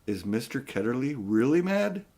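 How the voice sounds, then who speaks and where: A man's voice: medium pitch, sing-song, normal speed, dark tone. A man reading aloud and voicing a curious, outspoken young girl in a children's story.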